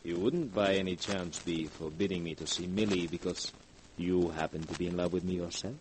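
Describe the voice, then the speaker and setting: Man playing a deep-voiced, smug radio scoundrel. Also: fairly even intonation, brisk, close to an everyday voice. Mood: seductive, insistent. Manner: silky, mocking, self-assured. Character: smug, suave male scoundrel and seducer. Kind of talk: 1940s radio acting. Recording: noisy vintage 1940s radio recording.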